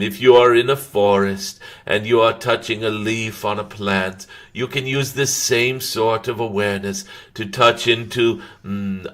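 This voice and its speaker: Man channeling a slow, deep, serene dragon spirit. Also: fairly bright tone. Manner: halting, with frequent drawn-out hums; formal, ceremonial phrasing. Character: serene, benevolent golden cosmic dragon spirit being channeled. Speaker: channeler hosting a live stream. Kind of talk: spiritual channeled message to a live audience.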